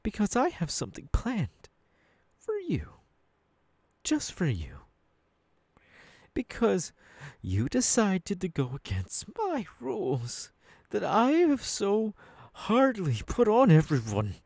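A man's voice: high-pitched, huge pitch swings, a little mellow, no room sound, full character voice. A man playing a menacing, mocking young villain boss in an ASMR roleplay, taunting and sometimes sinking into a whisper. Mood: sinister, threatening. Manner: taunting, drawn-out, dropping into whispers. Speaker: voice actor.

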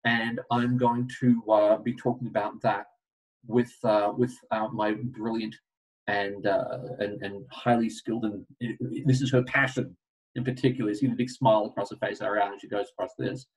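A middle-aged man presents to a webinar over a video call, his voice a little husky. He tells stories with animated asides. The mic sits some distance away, so he sounds slightly far off. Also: lowish voice, normal speed.

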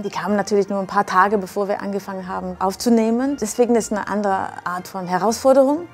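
Middle-aged woman interviewed over soft music. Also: medium pitch. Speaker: orchestra conductor. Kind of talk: conversational on-camera interview. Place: indoor room with brick walls, bar behind. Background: background music.

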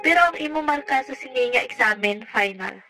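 A woman's voice: on the high side, brisk, close to an everyday voice. A woman playing a caring, reassuring teacher in an amateur radio drama. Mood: concerned, reassuring. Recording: music behind the voice.

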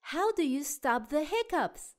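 A woman tells a children's story with a high, very lively voice. She takes her time over each phrase and speaks expressively, as a storyteller does for small children. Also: measured pace. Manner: expressive, child-directed delivery. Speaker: storyteller and show host. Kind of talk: read-aloud children's storybook narration.

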